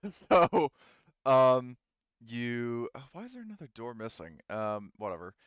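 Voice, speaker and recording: A man speaking casually and haltingly as game master of a tabletop roleplaying game, informal and sweary. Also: mid-range voice, very animated, clear, resonant tone, no room sound, muffled recording. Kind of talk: casual improvised game narration and table chat.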